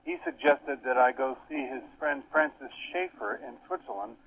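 A man's voice: mid-range voice, huge pitch swings, moderate pace, vocal fry. An older man who grew up in Europe, a seminary professor, answering interview questions thoughtfully with many hesitations. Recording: tinny phone line with faint hiss.

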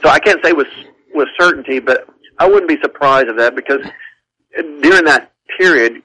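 A man's voice: on the high side, moderate pace, pushed and bright, a little hiss or hum, poor audio. A man teaching about the Bible on a radio talk show, talking earnestly with frequent restarts and repeated words. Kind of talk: conversational teaching on a call-in show.

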